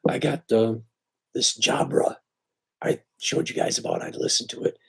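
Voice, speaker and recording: A man with a hoarse voice still weak after surgery, chatting casually and rambling on a live stream about his knife shop. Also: fairly low pitch, wildly swooping pitch, normal speed. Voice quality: very raspy, breaking into breathy near-whisper. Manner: rambling, easygoing, filler-heavy.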